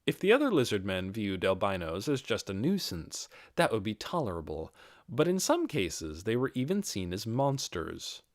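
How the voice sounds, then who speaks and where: A man narrating a scripted fantasy audiobook with expressive, dramatic storytelling. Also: fairly low pitch, moderate pace, no echo, studio quality.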